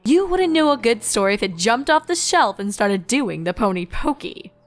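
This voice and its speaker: A woman plays a playful, teasing pony mare in a storybook. Her voice is affectionate and gently dramatic, fairly high for a woman, over a music bed. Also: big pitch swings, normal speed.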